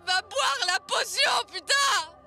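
A woman playing a bossy, hysterical villager, shrill and scolding, in a satirical tale. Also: expressive, takes it slowly, bright, forceful tone, shouting, soft background music, heavily acted. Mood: angry pleading. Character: hysterical, bossy, foul-mouthed villager screaming at someone.

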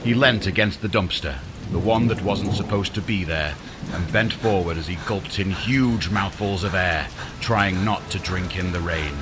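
A man reading a scripted fantasy audiobook in a dramatic, deliberate storytelling manner. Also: low-pitched, very noisy. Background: music under the voice.

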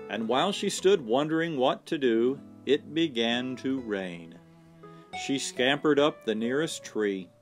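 A man reads a children's picture book aloud at a slow pace. His pitch rises and falls expressively, and soft guitar music plays behind him. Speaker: storyteller. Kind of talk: children's storybook read-aloud.

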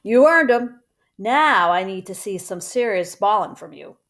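A woman reads the part of a young uncle from a children's storybook. He is proud and joking, with slangy, casual talk and lively pitch. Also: unhurried. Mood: proud teasing.

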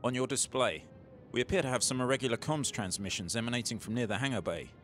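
A man's voice: mid-range voice, quick pace, fairly bright tone, faint music behind, natural voice. A man playing a curt, commanding starship bridge officer in a scripted audio drama.

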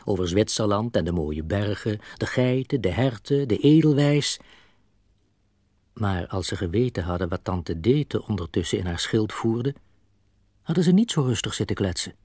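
A man's voice: fairly low pitch, lively intonation, moderate pace, darkish tone, no echo, studio quality. A man narrating a scripted children's story as a storyteller.

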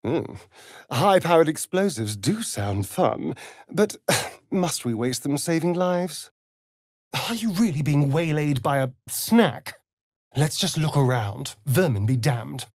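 An actor with an English accent playing a sardonic, flamboyant vampire spawn rogue in a video game, theatrical and arch in manner. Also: male voice, a little high, expressive, slow pace, darkish tone, no echo, studio quality, exaggerated voice. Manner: theatrical, arch, drawling with sighs. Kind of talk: video game character acting.

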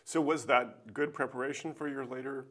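A man's voice: medium pitch, steady tone, normal speed, a bit bright. A man in his sixties hosting a TV arts interview show, friendly and encouraging with his guest. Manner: friendly, encouraging, many backchannels. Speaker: TV show host. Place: TV studio. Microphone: lapel.

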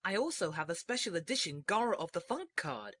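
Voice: medium pitch, lively intonation, a little fast, creaky, a bit bright, dry recording, studio quality. A performer with a female-sounding voice playing a geeky, socially awkward young male ninja in a comic anime parody, eager and nerdy.

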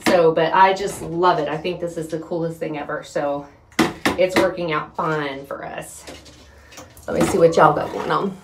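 A woman's voice: low-pitched, steady tone, moderate pace. Chatty middle-aged woman, roomy noisy recording. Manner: folksy, chatty, rambling while working. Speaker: homestead vlogger and host. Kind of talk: casual chat to viewers during chores. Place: small wooden chicken coop. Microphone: phone set up a few feet away. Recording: roomy, distant mic with clear background noise.

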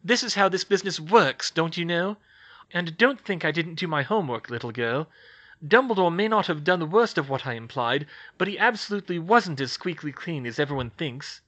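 A man's voice: on the high side, animated, fairly quick, sharp tone, pitched above the performer's own voice. A man voicing a smug, scheming middle-aged woman gossip journalist, pushy in tone. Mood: indignant.

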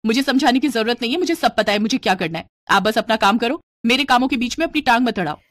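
A woman's voice: on the high side, lively intonation, quick pace, no room sound, studio quality, natural voice. A woman voicing a vain, petulant young bride in a cartoon story, with a whiny, self-important manner. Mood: resentful. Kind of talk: animated moral story dialogue.

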